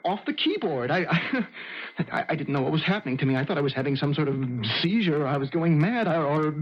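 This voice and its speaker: A shaken young male pianist in an old radio drama. His husky voice swings up and down as he stammers in fright, and the broadcast sounds muffled. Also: a little high, very animated. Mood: frightened, bewildered. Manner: stammering, halting, agitated. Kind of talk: 1970s radio drama acting.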